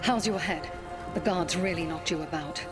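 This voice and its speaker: A woman voicing a brisk, loyal elven rebel agent, battle-ready, in a video game. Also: fairly low pitch, loud music behind the voice, natural voice. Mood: urgent, concerned.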